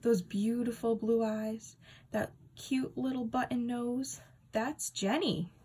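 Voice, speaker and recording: A woman's voice: a little high, fairly even intonation, takes it slowly, dark tone, husky, pitched above the performer's own voice. A woman reading a children's book aloud, voicing a cocky, wisecracking sixth-grade boy. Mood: smitten.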